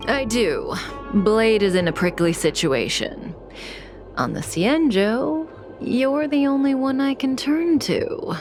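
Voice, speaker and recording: An actress playing a calm, enigmatic, seductive woman in a video game cutscene, speaking deliberately and with assurance. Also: on the high side, over music. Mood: soft, coaxing.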